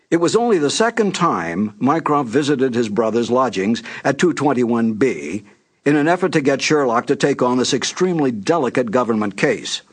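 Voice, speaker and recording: A man, reading scripted narration as the host introducing classic mystery broadcasts. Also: medium pitch.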